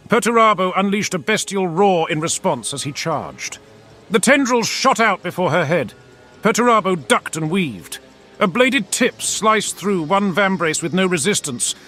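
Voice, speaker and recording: A man's voice: on the high side, a bit bright. A man narrating scripted fantasy fiction in a theatrical, intense storytelling manner. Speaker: audiobook-style lore narrator.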